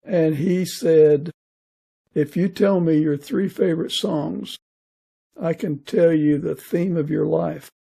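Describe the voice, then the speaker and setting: Man, podcast interviewer, gravelly and husky, mellow tone, casual chat. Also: a little high, fairly even intonation, slow.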